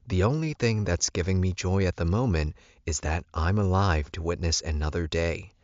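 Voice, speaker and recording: Low-pitched man narrating fiction. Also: moderate pace, a little mellow, no room sound, muffled recording. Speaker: audiobook narrator. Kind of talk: first-person scripted fiction narration.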